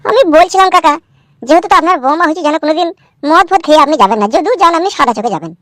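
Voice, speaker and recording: A man's voice: very high-pitched, lively intonation, racing, a bit bright, dry recording, clean studio sound. A man voicing a meek, fretful young village husband in a Bengali cartoon, pleading and deferential, with a rural West Bengal accent. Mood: resigned, timid. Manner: pleading, deferential, stammering when upset. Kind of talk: comic cartoon dialogue. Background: background music.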